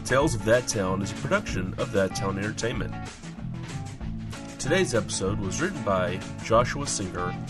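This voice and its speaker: A man reading scripted end credits as an announcer. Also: lowish voice.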